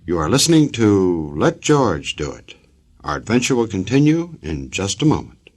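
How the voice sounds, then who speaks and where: Husky low male radio announcer. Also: very animated, normal speed, soft background music. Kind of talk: 1940s radio scripted announcement.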